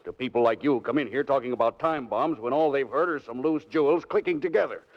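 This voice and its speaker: An actor playing a brisk, skeptical police inspector with an authoritative manner in a 1940s radio drama. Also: male voice, medium pitch, lightly acted. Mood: stern, skeptical. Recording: dull, noisy 1940s radio recording.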